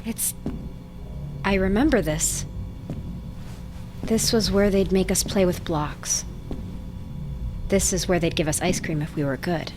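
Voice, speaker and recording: A woman's voice: fairly low pitch, unhurried, long pauses, noisy background, natural voice. A woman playing a haunted survivor of childhood experiments, plain-spoken and hesitant, in an audio drama. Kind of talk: naturalistic audio drama acting.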